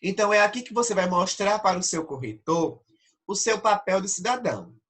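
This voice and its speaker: A man's voice: high-pitched, expressive, fairly quick, a bit bright. A male teacher with a Brazilian Portuguese accent, nasal from allergic rhinitis, explains essay criteria step by step in an online class and keeps checking with his students.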